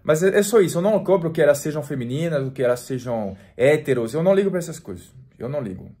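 A man in his forties from France, speaking Portuguese with a French accent in a relaxed, chatty vlog to camera, full of restarts and fillers. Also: fairly high pitch, fast. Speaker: French teacher and vlogger. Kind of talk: casual talk to camera.

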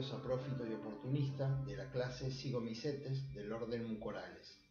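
A man from Argentina with an Argentine accent, a surgeon presenting a clinical case in a measured, formal way. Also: mid-range voice, echoey room, dull audio. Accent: Argentine Spanish. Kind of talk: recorded medical case presentation.

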